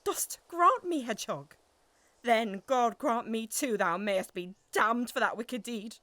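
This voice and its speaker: A woman's voice: a little high, expressive, a little slow, pushed and bright, no echo. A woman playing a grieving, defiant noble widow in a Shakespearean audio drama, with declamatory, emotional delivery. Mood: bitter scorn.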